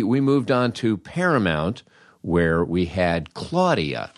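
An older American man with a low voice tells funny first-person stories in a dry, close recording. His delivery is wry and animated, with dramatic pauses and little bits of acted-out dialogue. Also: no room sound. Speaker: podcast host, comedy writer. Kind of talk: humorous first-person storytelling monologue.

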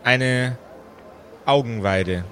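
Slow-paced man narrating a fantasy audio play. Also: medium pitch, fairly bright tone, a little hiss or hum. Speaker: host and game master. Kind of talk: slow atmospheric scripted narration.

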